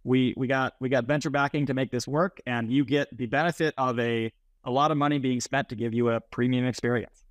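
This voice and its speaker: A man's voice: mid-range voice, steady tone, brisk, dry recording, highs cut off. A man around forty, explaining things conversationally as an interview guest. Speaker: startup founder, interview guest. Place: indoors on a video call, plain room.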